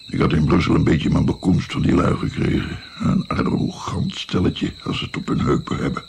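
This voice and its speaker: A man's voice: extremely low pitch, normal speed, creaky, darkish tone, a little hiss or hum, heavily acted. An actor playing an older musician and physician, recalling his naive youth in a reflective, hesitant, wry way in a radio play. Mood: wry, reminiscing.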